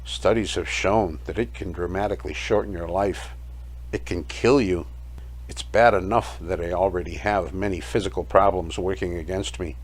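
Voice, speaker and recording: A man narrating a first-person dramatic story in a reflective, measured way, reading from a script. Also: low-pitched, steady tone. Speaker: storyteller narrating his own audio play. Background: music.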